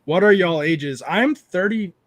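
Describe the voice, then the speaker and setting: A man's voice: sits high, lively intonation, unhurried, dry recording. Jokey man hosting a livestream. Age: 30s. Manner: rambling, jokey, reads chat aloud. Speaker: livestream host. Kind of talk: casual livestream chat.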